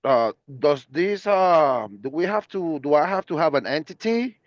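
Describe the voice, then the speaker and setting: Brief: Portuguese-native man from Puerto Rico, hesitant questioner, slightly high voice, dull dry call audio. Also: expressive, moderate pace, dry recording. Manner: hesitant, many fillers and restarts. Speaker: caller asking questions.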